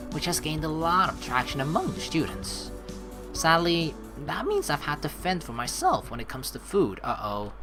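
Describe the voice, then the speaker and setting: A let's play host from the Netherlands, reading a visual novel aloud and adding casual commentary. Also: mid-range voice. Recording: game music behind the voice. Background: game music.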